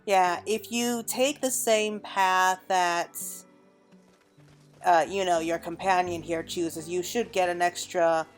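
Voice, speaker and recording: Casting: woman gamer, slightly low voice, casual play-along commentary, chatty, music underneath. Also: fairly even intonation, slow. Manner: chatty, thinking aloud. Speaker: gamer narrating a playthrough. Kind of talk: casual gameplay commentary, also reading game dialogue aloud.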